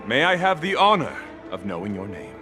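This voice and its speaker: An actor playing a gallant, earnest knight in a video game, speaking in a flowery, theatrical, courtly manner. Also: male voice, on the low side, wildly swooping pitch, fairly quick, over music. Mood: courtly admiration.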